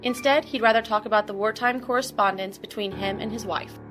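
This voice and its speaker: A woman's voice: medium pitch, a little fast, over music. A woman narrating a documentary from a script.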